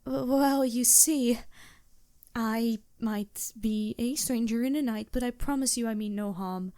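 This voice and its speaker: A woman's voice: on the high side, measured pace, warm tone, dry recording, studio quality, close to an everyday voice. A woman playing a polite, anxious vampire posing as a traveling merchant in a fantasy audio roleplay, speaking hesitantly with small stammers and courteous apologies. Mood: timid pleading. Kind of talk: fantasy roleplay audio drama, addressing the listener.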